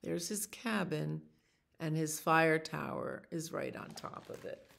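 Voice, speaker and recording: A woman in her sixties reads a picture book aloud for children, pausing for casual asides that explain the pictures in an unhurried manner. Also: low voice, vocal fry, a bit bright. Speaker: children's storytime reader. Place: small library children's room.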